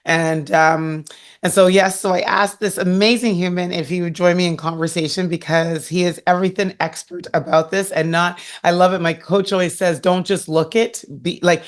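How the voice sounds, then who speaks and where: A woman hosting a podcast, introducing her guest in a bubbly, laughing, animated way with a husky voice. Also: fairly low pitch, normal speed. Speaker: podcast host. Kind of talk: energetic interview intro.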